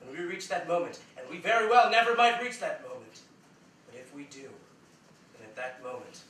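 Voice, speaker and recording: Heard from afar in a very echoey theater, an actor plays an earnest, self-important male writer. His voice is high and bright, and he delivers his lines with declamatory emphasis. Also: big pitch swings, fairly quick. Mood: earnest, grandiose. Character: earnest, pompous male writer who argues and pontificates. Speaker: stage actor. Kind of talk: staged play reading. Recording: very echoey room, distant mic, faint hiss.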